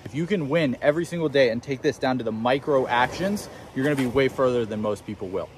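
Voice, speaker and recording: Fast-talking eighteen-year-old motivational vlogger. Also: male voice, mid-range voice, slight background noise. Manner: fast, emphatic self-help preaching. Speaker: young entrepreneur vlogger. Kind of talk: motivational vlog talk to camera. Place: outdoors on a beach.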